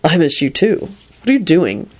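A woman's voice: low-pitched, big pitch swings, moderate pace, breathy edge, exaggerated voice. A woman voicing a moody, lovesick businessman in an audiobook, with an offhand, grudging, curt manner. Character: moody, lovesick businessman Quentin, casual then demanding.

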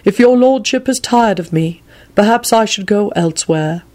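A woman voicing a smooth, polite and dryly witty longtime manservant in a story. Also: lowish voice, animated, moderate pace, darkish tone, close to an everyday voice. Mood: smooth, pleasant. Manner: polite, deferential yet casually cheeky.

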